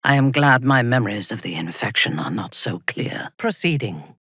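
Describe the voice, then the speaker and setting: An actress playing a ruthless, haughty dark elf warrior in a video game, cold, commanding and menacing in her measured delivery. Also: extremely low pitch, very animated, slightly rough, dry recording, studio quality, full character voice. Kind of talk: video game character voice acting.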